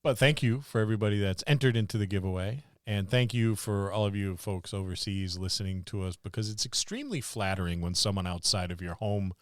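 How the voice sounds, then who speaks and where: A man's voice: lowish voice, fairly quick, hardly any pauses, dry recording, clean studio sound. A man co-hosting a casual podcast about tabletop gaming, talking in a rambling, enthusiastic way. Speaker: podcast co-host.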